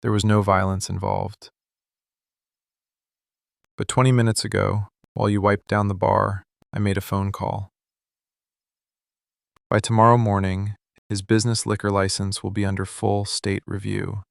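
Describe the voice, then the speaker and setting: A man acting a possessive, menacing mafia boss in love, speaking slowly, deliberately and intimately in an ASMR roleplay. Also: fairly low pitch, flat delivery, gravelly, dry recording, studio quality. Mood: cold, possessive. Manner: slow, deliberate, intense, hushed confiding delivery. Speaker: ASMR voice actor. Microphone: close studio microphone, dry.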